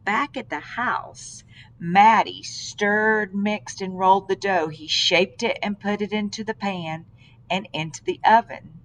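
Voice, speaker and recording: A woman reading a children's story aloud in an expressive way, with dramatic, deliberate phrasing. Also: medium pitch, slight background noise. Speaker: storybook reader.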